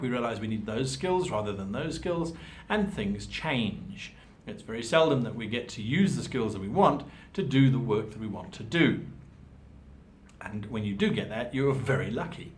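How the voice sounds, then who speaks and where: A man's voice: mid-range voice, slight background noise. A man giving an instructional monologue as a host, articulate and emphatic in his explanations. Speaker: host giving tabletop role-playing advice.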